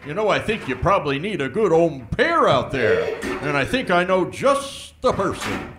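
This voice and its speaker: Man acting high-voiced, wildly animated bumbling sheriff. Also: normal speed. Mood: folksy, rambling. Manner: folksy, rambling, easily distracted. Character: bumbling, folksy small-town sheriff, chatty father. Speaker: voice actor in a comedy audio drama. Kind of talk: comedic audio drama acting.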